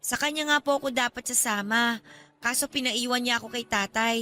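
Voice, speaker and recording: A woman's voice: fairly high pitch, a little fast, bright, forceful tone. An actress playing a polite, guarded young female college student in a radio drama, speaking with hesitant reserve. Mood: explaining. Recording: music under the voice.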